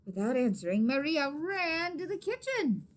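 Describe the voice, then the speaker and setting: Animated, higher-voiced woman reading to children. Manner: animated, pauses to question and explain to young listeners. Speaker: read-aloud storyteller, teacher. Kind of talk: expressive children's picture book read-aloud with asides to listeners.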